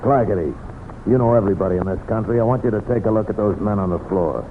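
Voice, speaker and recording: Male actor as gruff outlaw henchman, lowish voice, distrustful, old radio western, tinny sound. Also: quick pace, lightly acted. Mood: challenging. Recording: tinny, faintly noisy old radio recording.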